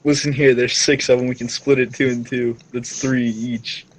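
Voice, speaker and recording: A man's voice: medium pitch, level tone, normal speed, rough recording. A man playing a tabletop game, chatting casually and joking with the group.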